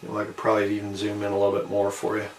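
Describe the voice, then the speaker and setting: A man's voice: lowish voice, monotone, racing, a little mellow, far from the mic, slight background noise. A man hosting a homemade video, reading aloud from a printed page and adding musing, informal commentary. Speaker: video host reading and commenting on an old newspaper. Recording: roomy, set back from the mic.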